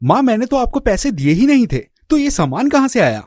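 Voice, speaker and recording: A man's voice: very high-pitched, big pitch swings, fairly quick, noisy background, voice pushed up. A man voicing a worried adult son in a cartoon story, questioning and imploring. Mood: puzzled, upset.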